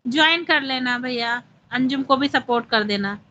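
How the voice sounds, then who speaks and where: Woman doing a phone live stream, high bright voice, chatty, greeting viewers, faint noise behind. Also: moderate pace, highs cut off.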